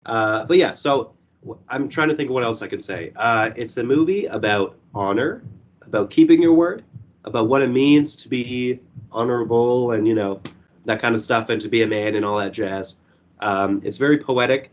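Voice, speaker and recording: A young man reviewing a movie in casual podcast chat. His voice is hoarse and going, and he rambles with lots of fillers and swearing. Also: mid-range voice, moderate pace, lo-fi sound.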